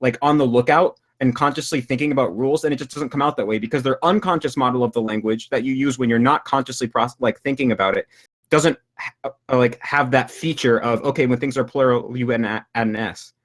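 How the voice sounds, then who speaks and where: A young man of mid pitch talks through his ideas over a video call. He explains patiently but haltingly, restarting his sentences and padding them with fillers. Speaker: language-learning video creator. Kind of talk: casual discussion.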